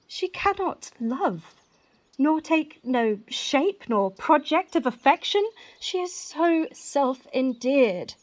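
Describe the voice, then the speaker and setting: Young noblewoman bride-to-be, very high voice, swoopy and mischievous, shakespeare radio play. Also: expressive, slow. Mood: mock scornful. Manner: theatrical, emphatic, mischievous. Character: lively scheming young gentlewoman about to be married. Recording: recorded separately at home.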